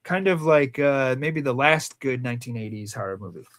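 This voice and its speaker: A man's voice: mid-range voice, animated, normal speed, fairly bright tone. A man with a Rhode Island accent, chatting casually and teasingly as co-host of a movie countdown show. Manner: joking, teasing. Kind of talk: casual film chat.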